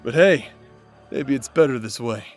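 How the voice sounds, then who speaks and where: A confident warrior nobleman, acted by a man in a fantasy audio drama, speaking at a middle pitch. He is casual and teasing, brash yet protective, with faint music underneath. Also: moderate pace, lightly acted. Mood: friendly, teasing. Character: brash, protective adult nobleman warrior captain, easygoing but menacing. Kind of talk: fantasy audio roleplay acting.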